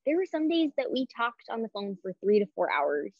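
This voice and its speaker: Young female guest, cold-thickened voice, expressive; dry, muffled video-call audio. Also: fairly high pitch, a little fast, no echo. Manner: expressive, laughs easily. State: under the weather, voice thickened. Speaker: podcast guest. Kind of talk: casual interview chat.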